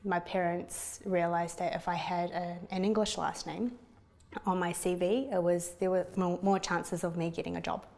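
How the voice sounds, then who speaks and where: Young woman from China, lower voice, easy chatty interviewee. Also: flat delivery, moderate pace, gravelly, darkish tone. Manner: chatty, laughs while telling stories. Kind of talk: documentary interview.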